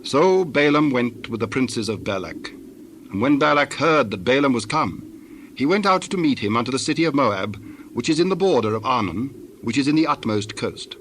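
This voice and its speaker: A man's voice: mid-range voice, animated, normal speed, fairly bright tone, slight background noise. A man narrating scripture from a script for a dramatized reading.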